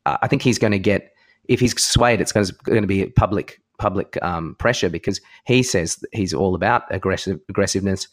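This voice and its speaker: An Australian man with a fairly low voice talks casually as a podcast co-host. He is opinionated and informal, happy to swear as he discusses sport. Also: a little fast. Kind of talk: casual chat about sport.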